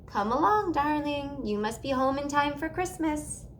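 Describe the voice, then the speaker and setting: A woman's voice: sits high, expressive, unhurried, slight background noise, voice pushed up. A woman voicing an affectionate, commanding aunt in a theatrical manner for a children's storybook. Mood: fond, brisk. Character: Auntie Claus, affectionate commanding grand aunt.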